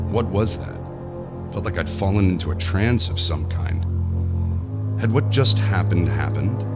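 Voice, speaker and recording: An actor playing a powerful, nervous businessman who talks to himself in a rambling, sardonic way, narrating an audio horror drama. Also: low-pitched. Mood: rattled, musing. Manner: rambling self-talk, sardonic, jittery. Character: powerful businessman, alone, nervous, self-mocking, slowly unravelling. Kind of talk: first-person audio horror monologue.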